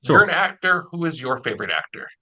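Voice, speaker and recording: Bright-voiced male interviewer. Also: a little high, sing-song, fast. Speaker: interviewer, audio drama producer. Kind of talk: casual interview chat.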